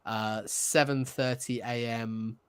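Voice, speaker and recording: A man's voice: medium pitch, steady tone, slow pace, a bit bright, clean tone. Casual, chatty man co-hosting a podcast. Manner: informal, chatty fan talk. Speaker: podcast co-host. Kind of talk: casual chat.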